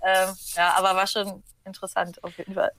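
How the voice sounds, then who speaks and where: A woman's voice: mid-range voice, flat delivery, brisk, a bit bright. A woman chatting casually in an interview, with a slangy, blunt, informal manner. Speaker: band member being interviewed. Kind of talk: casual chat.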